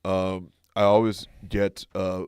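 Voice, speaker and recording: Deadpan fish patient, low, hesitant, improvised, played by a man. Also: slow, clear, resonant tone, dry recording, studio quality. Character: male fish patient with sore hips. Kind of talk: improvised comedy sketch.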